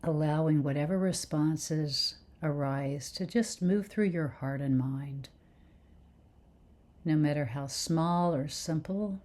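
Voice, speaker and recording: A woman leading a guided meditation with soothing, gentle instruction in unhurried phrases. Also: low-pitched.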